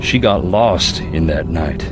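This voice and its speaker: A laconic, regretful man in a mystery game. His voice is very low, his delivery plain and guarded. Music plays clearly behind him. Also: lively intonation, slow. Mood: somber. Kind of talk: video game voice acting.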